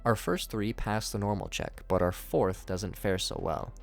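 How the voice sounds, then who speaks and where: Male narrator, lower register, scripted review commentary, light music bed underneath. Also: lively intonation, measured pace, vocal fry. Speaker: YouTube narrator reviewing horror series.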